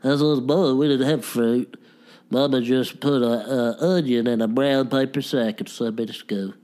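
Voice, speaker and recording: A man's voice: mid-range voice, fairly even intonation, normal speed, dark tone, lightly acted. A man voicing an odd, sweet old country uncle who rambles folksily and mutters morbid remarks. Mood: fondly reminiscing.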